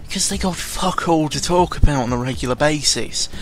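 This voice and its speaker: A young man giving casual, unscripted commentary in a rambling, halting way, often interrupting himself, with a sarcastic, self-deprecating and exasperated manner. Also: fairly high pitch, slight background noise. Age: young adult. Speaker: YouTuber recording an audio log. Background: background music.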